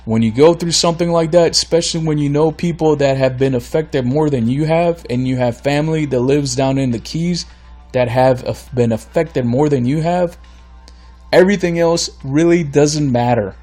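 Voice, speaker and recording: A man's voice: a little high, normal speed, darkish tone, soft background music. Ranting podcast man losing his voice. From Florida. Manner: blunt, ranting, exasperated. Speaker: podcast host. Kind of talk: solo podcast rant.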